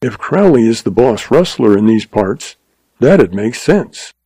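A man's voice: medium pitch, very animated, measured pace, warm tone, slightly rough, no room sound. A man playing a tall, tough cowboy rustler hunter who speaks in terse, gritted frontier talk. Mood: brooding.

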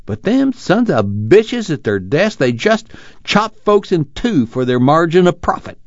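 A man's voice: medium pitch, darkish tone, no echo, lo-fi sound, voice pushed up. A man voicing an embittered, haunted rural loner, ranting irritably in rustic dialect in an audiobook novel. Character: embittered, agitated rural man, haunted and lonely, grieving lost land.

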